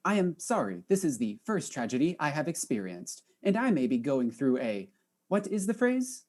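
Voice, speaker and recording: Actress as formal shipboard AI, very deep voice, swinging intonation, stilted phrasing, mystery drama. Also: female voice, very animated, moderate pace, darkish tone. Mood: distressed. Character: ship's artificial intelligence, grieving, formal, newly emotional. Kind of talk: acted mystery audio drama.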